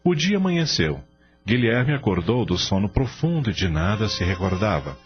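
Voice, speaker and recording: A man narrating a scripted radio drama as an expressive storyteller. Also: on the low side, normal speed. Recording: dull lo-fi audio, faint music.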